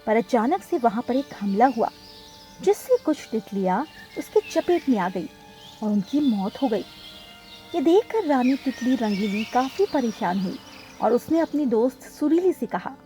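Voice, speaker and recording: Lady narrating a kids cartoon tale, really high lively voice, bit of music behind. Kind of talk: scripted children's cartoon story narration.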